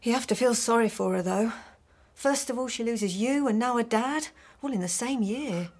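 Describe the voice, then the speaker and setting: A woman's voice: on the high side, normal speed, lightly acted. A woman acting a married man's sceptical, shrewd girlfriend in a naturalistic radio drama. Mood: sympathetic.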